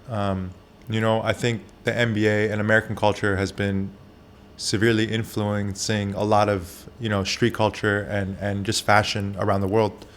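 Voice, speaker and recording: A man who grew up in Japan, a barber, answering interview questions candidly, with soft pauses and frequent fillers. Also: fairly low pitch, a little hiss or hum.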